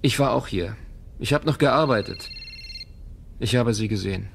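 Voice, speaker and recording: An actor as a gravelly, wary tech founder. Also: male voice, medium pitch, normal speed, heavy background noise, close to an everyday voice. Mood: guarded. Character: wary, world-weary male tech company founder. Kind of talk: German audio drama dialogue.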